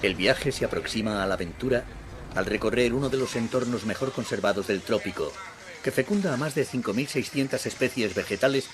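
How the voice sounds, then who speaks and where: Lower-pitched man narrating a documentary. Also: background noise. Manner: measured, descriptive. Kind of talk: scripted narration. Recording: voice-over with loud music underneath. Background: music.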